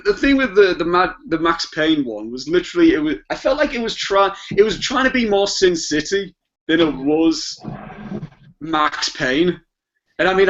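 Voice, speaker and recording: Higher-pitched man chatting, muffled lo-fi audio. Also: fairly bright tone. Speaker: podcast panelist. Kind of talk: casual chat.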